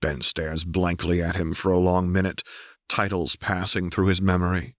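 A man's voice: low voice, little pitch movement, normal speed, no echo, clean studio sound. A man reading a story aloud as scripted narration, like an audiobook reader.

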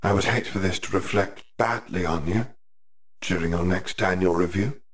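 A man voicing a cold, controlled masked headmaster who speaks with quiet menace. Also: deep voice, moderate pace.

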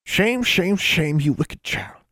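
A man voicing a stern, folksy great-grandmother with an Ozark accent, scolding in a high drawling voice. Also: lively intonation, slow, bright, forceful tone, dry recording, exaggerated voice. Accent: Ozark rural American. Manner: scolding, drawling, high put-on old-woman voice. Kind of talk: audiobook character voice.

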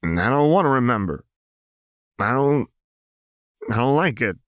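A man's voice: mid-range voice, very animated, a little slow, fairly bright tone, no room sound, studio quality, pitched above the performer's own voice. A man voicing a volatile, wounded young woman, a manic gunslinger, with an erratic, spitting delivery in a narrated story. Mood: distressed.

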